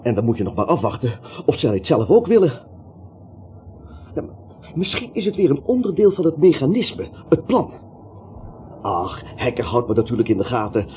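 An actor plays a timid, frightened little man in a radio play, stammering and rambling to keep his nerve. His mellow voice swings widely up and down, on a dull recording with faint hiss. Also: medium pitch, sing-song, moderate pace, close to an everyday voice. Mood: anxious rambling. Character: anxious, timid little man, a cowardly reluctant vigilante.

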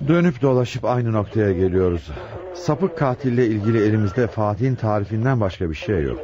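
An actor playing a gruff, brooding police chief inspector in a radio drama, authoritative and methodical. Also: male voice, fairly low pitch, animated, brisk, a crowd in the background, close to an everyday voice. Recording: dull old radio drama broadcast.

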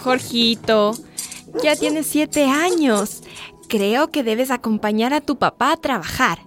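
A woman's voice: high voice, slow, over music. A woman playing a poor, loving, worried mother in a radio drama, coaxing and tender.

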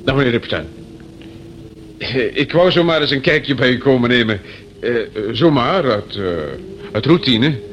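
An actor playing a fussy, awkward district police inspector in a radio play, officious yet apologetic, stammering and repeating himself. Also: male voice, mid-range voice, a little slow, pauses often, a bit bright, close to an everyday voice. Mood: awkwardly polite. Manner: hesitant, stammering, apologetic, repetitive. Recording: dull, faintly noisy old radio recording.

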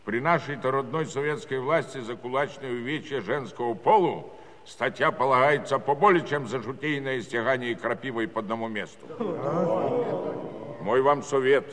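An actor playing a folksy, self-important Cossack village judge who speechifies in garbled rustic officialese with a southern Russian Don dialect. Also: male voice, mid-range voice, moderate pace, fairly bright tone, lightly acted. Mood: lecturing. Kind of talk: theatrical musical comedy monologue. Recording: reverberant 1970s studio recording over music.

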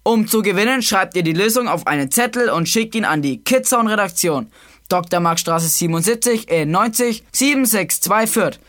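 A woman's voice: medium pitch, expressive, moderate pace, a bit bright, natural voice. A woman voicing a cocky, teasing boy who hosts a children's quiz show in a breezy, slangy way. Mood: breezy presenting. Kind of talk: scripted children's quiz presenting. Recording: music behind the voice.

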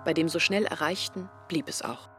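A woman's voice: deep voice, normal speed, music in the background, lightly acted. An actress playing a young village woman who earnestly confides her family's troubles in a radio play. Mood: earnest, confiding. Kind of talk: German radio play monologue.

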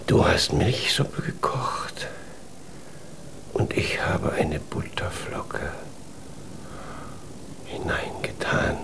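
A man playing a dreamy, skeptical husband in a children's radio drama, speaking in a gravelly, musing, half-whispered murmur. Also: rumbling low, sing-song, extremely slow, pauses often, full character voice. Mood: wistful, sleepy. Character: dreamy, skeptical husband called Papa, fond of whimsy.

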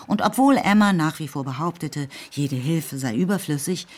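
An actress narrating a classic novel for radio drama, composed and lightly ironic. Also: female voice, low-pitched, expressive, normal speed, darkish tone. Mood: composed, wry. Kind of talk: scripted literary narration.

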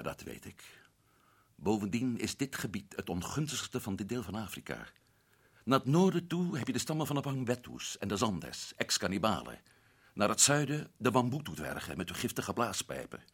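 Earnest, urgent hunter, husky low voice, lively inflection, radio drama. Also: male voice, moderate pace, exaggerated voice. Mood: narrating. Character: adventurous male hunter back from Africa. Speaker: radio play actor. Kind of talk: 1960s radio drama acting.

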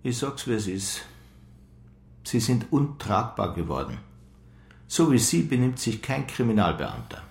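An actor playing an officious, indignant senior police official, insistent and bureaucratic, in a radio crime drama. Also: male voice, mid-range voice, sing-song, unhurried, vocal fry, pauses often, echoey room.

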